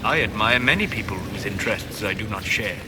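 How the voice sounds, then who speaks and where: A reserved, scholarly elf mage from a fantasy video game, acted by a man. The voice is low and measured, with formal, precise wording. There is clear noise behind it. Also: animated, lightly acted. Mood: composed, wry. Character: calm, scholarly, reserved male elven mage. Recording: game audio with background noise.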